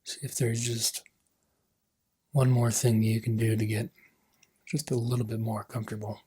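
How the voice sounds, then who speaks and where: A man leading a guided meditation as a coach, speaking gently in unhurried phrases that trail off softly. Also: fairly low pitch, level tone, breathy edge.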